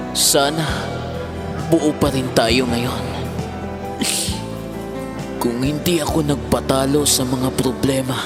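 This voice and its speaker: A Filipino man playing a sorrowful, repentant imprisoned father in a drama, with halting emotional delivery over music. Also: medium pitch, close to an everyday voice. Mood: regretful, sorrowful. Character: remorseful imprisoned husband and father, tender, sorrowful. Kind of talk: melodramatic audio drama acting.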